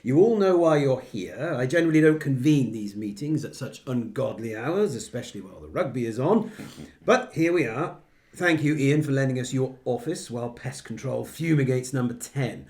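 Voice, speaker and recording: Brief: male actor as a clubby British PM, mid-pitched, smug and breezy, running a meeting in satirical radio drama. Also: animated, moderate pace, darkish tone, natural voice. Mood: brisk, wry. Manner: jovial, patronising, clubby.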